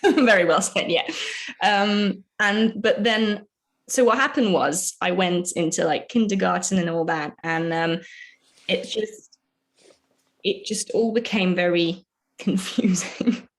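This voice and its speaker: A woman's voice: mid-range voice, slow pace. A young woman from Hungary, a dancer and choreographer, talking casually as a podcast guest and often searching for words with fillers. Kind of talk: casual interview chat.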